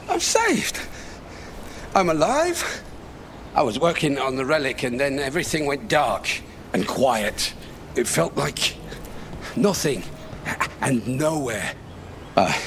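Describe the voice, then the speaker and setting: A man playing a shaken, halting relic hunter who has just been rescued, in a video game. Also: mid-range voice, a little hiss or hum. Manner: halting, dazed.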